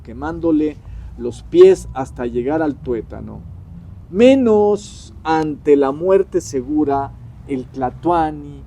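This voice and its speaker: A man delivering scripted critical commentary like a lecture, deliberately and emphatically, pausing between short phrases. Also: medium pitch, warm tone. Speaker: historian-critic presenting a critique.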